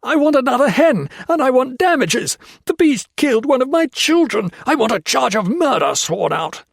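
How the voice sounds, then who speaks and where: A man's voice: on the high side, expressive, slow pace, vocal fry, husky, dry recording, clean studio sound, pitched above the performer's own voice, full character voice. A man voicing a deranged old male army colonel who screams and hisses abuse. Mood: raving. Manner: shrieking, screaming, hissing.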